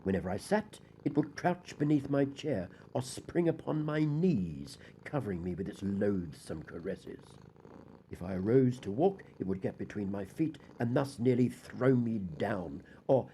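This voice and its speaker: A man's voice: mid-range voice, dark tone, a little hiss or hum. Man acting a wildly swinging gothic madman confessing murder. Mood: brooding dread. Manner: theatrical, formal, dramatic emphasis. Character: deranged, guilt-haunted male murderer confessing, swinging from dread to frenzy. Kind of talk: dramatic gothic audiobook reading.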